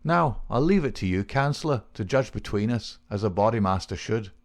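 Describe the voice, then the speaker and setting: A man's voice: on the low side, expressive, brisk, pitched above the performer's own voice. A man voicing a cocky, cool-nerved outlaw newcomer in an audiobook, talking in brash, colloquial American style. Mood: defiant.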